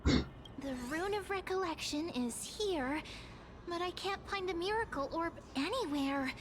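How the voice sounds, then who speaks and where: A woman's voice: extremely high pitch, lively intonation, unhurried, heavy background noise. A woman voicing a small, girlish, eager fairy-like creature in a video game, chirpy and self-assured. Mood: pondering. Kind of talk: video game character voice acting.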